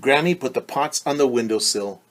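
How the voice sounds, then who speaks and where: A man's voice: mid-range voice, lively intonation, normal speed, a bit bright. A man teaching young children, reading a picture book aloud and patiently explaining it in simple terms with questions.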